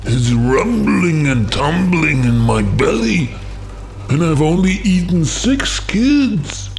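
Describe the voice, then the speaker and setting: Slow, gruff big bad wolf. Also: male voice, a little high, lively intonation, voice pushed up, full character voice. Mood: groaning. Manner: slow, drawn-out, threatening delivery.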